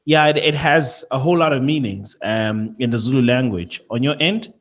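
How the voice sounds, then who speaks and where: A man's voice: medium pitch, normal speed. A man hosting an interview in an enthusiastic, casual way, often repeating words as he gets started. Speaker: interview host.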